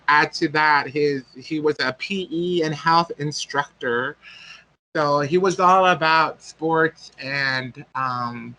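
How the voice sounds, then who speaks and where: A man's voice: fairly high pitch, sharp tone, rough recording. A man, telling a personal story as a guest speaker and often searching for words. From American Samoa.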